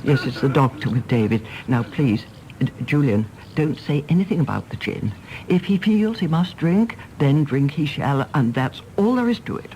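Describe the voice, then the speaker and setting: A woman's voice: deep voice, animated, normal speed, warm tone, a little hiss or hum, low-quality recording. A woman with an English accent playing a stern, dutiful elderly mother in a radio drama, genteel and reproachful. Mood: firm, regretful. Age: older. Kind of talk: stage play performed as broadcast drama.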